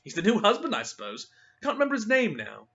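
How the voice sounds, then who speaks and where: A man's voice: high voice, huge pitch swings, fast talker, bright, forceful tone, highs cut off, pitched above the performer's own voice, exaggerated voice. A man voicing a cheerful, disparaging young man. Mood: breezy. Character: breezy, disparaging young Englishman.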